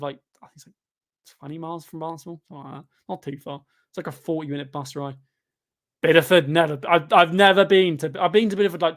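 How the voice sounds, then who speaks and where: Young man, twenty, devon accent, rambling live-stream chat, slightly high voice, loud bursts at chances. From England. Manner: chatty and rambling, with sudden loud outbursts at chances. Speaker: guest fan on a football watchalong stream. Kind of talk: casual live-stream chat reacting to a match and reading chat.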